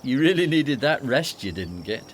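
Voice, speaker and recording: An actor playing a cheeky, needling, over-helpful disciple in a radio play, with a glib, teasing manner. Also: male voice, medium pitch, very animated, moderate pace, a little hiss or hum, lightly acted.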